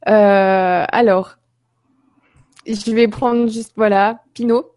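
Lady hosting a live stream, chill mellow voice, no room sound, casual, reading viewer comments. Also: medium pitch, fairly even intonation, slow, no room sound. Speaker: host of a live web show.

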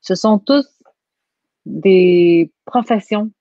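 A woman's voice: medium pitch, drawn-out words, mellow, no room sound. Québécois woman guest, earnest and emphatic, dry dull lo-fi line. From Quebec. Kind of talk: conversational interview.

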